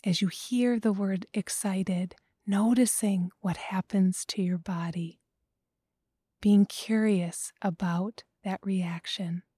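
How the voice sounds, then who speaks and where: A woman guiding a breathing meditation, speaking slowly and softly and often in a whisper. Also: medium pitch, steady tone, breathy edge, no room sound. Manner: slow, soft, often whispered. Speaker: meditation guide.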